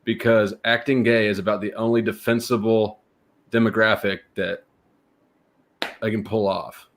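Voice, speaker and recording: Blunt, teasing man, lowish voice. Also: flat delivery, moderate pace. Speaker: livestream co-host. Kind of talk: casual livestream chat.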